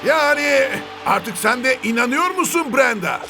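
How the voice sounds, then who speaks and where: A man playing an excitable football match commentator in a cartoon. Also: very high voice, unhurried, music in the background, full character voice. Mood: excited.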